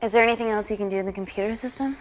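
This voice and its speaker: A woman's voice: medium pitch, fairly even intonation, racing, lightly acted. An actress playing an earnest young woman scientist trapped in a lab, speaking urgently in a naturalistic dramatic style. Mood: determined. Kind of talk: naturalistic dramatic screen acting.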